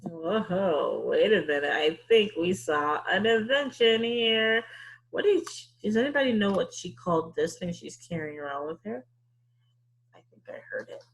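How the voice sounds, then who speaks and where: Woman, children's story-time teacher. Husky, lowish voice, questioning and thinking aloud for young listeners. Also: sing-song, normal speed, poor audio. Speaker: teacher leading a read-aloud.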